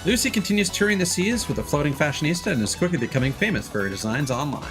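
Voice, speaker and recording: A man's voice: fairly high pitch, lively intonation, rapid-fire. A man streaming a game, reading its text aloud and adding informal, wry commentary. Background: game music.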